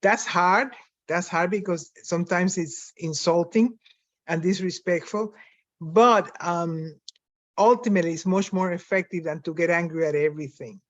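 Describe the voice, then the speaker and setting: A woman's voice: fairly low pitch, normal speed, no room sound. An older woman with a Spanish accent speaks reflectively as a panelist over a video call. She often restarts her sentences with small fillers. Her voice comes through dry and slightly muffled, like lo-fi call audio. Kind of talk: conversational panel talk.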